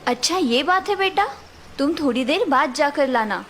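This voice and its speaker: A woman voicing an older village mother who gives calm, patient advice to her daughter. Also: sits high, normal speed, a little hiss or hum. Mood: concerned.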